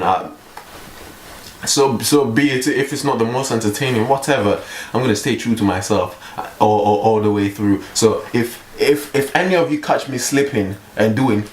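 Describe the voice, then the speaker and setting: A young man vlogging in a casual, rambling way, with a mellow voice and a joking, self-mocking manner. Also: fairly low pitch, normal speed. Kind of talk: casual vlog monologue. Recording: echoey room with faint hiss.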